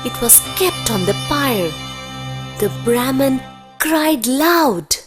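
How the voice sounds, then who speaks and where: Slow, lilting female storyteller. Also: high-pitched, animated, over music. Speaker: narrator. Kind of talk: scripted children's story narration.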